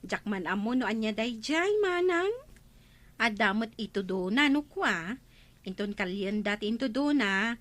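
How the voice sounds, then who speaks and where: A woman's voice: on the high side, animated, measured pace, fairly bright tone. An actress playing a village woman who passes on local news in a matter-of-fact way in a radio comedy drama. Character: village woman reporting gossip about treasure diggers.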